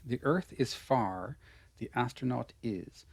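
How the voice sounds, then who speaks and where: An Irish man in an online lesson, coaching a student through pronunciation. His voice sits at a middling pitch, and he stays patient and encouraging, handing out praise again and again. The sound is dry and close, with no room around it. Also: animated, takes it slowly, creaky, darkish tone, slightly rough, no echo. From Ireland. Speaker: English pronunciation teacher.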